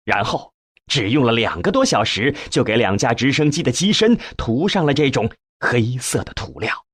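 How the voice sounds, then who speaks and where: A man reading a novel as a scripted narration, with measured, expressive storytelling and a husky voice. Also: mid-range voice, no room sound, studio quality. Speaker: audiobook narrator.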